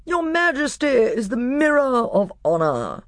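A narrator voicing a tiny male mouse warrior with a shrill voice, courtly, formal and fiercely proud. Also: huge pitch swings, measured pace, dry recording, studio quality. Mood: courtly, defiant. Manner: courtly, formal, touchy about honour.

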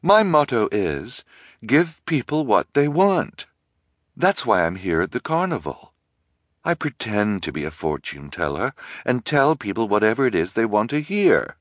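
A man's voice: on the low side, big pitch swings, moderate pace, no echo, studio quality, voice pushed up. A man voicing a tearful, ashamed woman who speaks sadly without any accent. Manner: sobbing, soft, unaccented. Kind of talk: audiobook character reading.

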